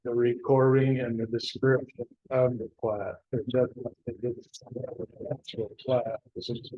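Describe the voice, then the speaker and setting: A man leading a town board meeting, talking haltingly with many restarts. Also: medium pitch, level tone. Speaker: presiding board trustee. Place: town hall meeting room. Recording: dull lo-fi meeting recording.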